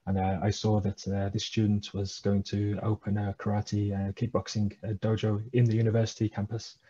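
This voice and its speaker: A man's voice: on the low side, completely flat delivery, normal speed, dark tone, slightly rough, lo-fi sound. A man, a guest talking hesitantly over a headset on a video call from a small room. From England. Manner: hesitant, many uh fillers, thoughtful. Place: small room at home, indoors.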